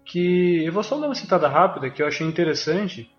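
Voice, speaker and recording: A man's voice: sits high, lively intonation, fast. A man with a Brazilian accent chatting casually as a podcast co-host. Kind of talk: casual chat. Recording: dull, echoey room recording. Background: faint music.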